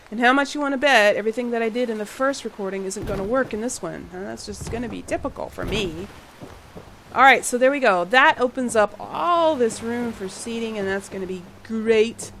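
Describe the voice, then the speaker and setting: A woman hosting a game-building channel, chatting casually while she plays. Her voice is a little husky from a cold she is getting over, and she sounds tired and late-night. She apologizes often and laughs a lot. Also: fairly high pitch, moderate pace, fairly bright tone, slight background noise, rough recording. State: slightly husky from a fading cold. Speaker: gaming channel host. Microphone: home voice mic.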